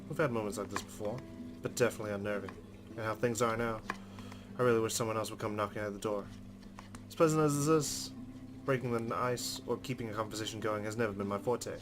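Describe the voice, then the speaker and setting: A man with a fairly low voice reads story narration from a game at a fast clip. Plucked guitar music plays clearly behind him. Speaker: let's player reading a game aloud. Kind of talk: reading visual novel narration aloud. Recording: music under the voice.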